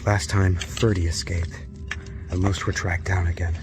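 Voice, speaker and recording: An actor playing a wary, hard-bitten prisoner in a radio drama, speaking tersely and guardedly. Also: male voice, low voice, little pitch movement, moderate pace, music in the background, lightly acted. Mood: grim.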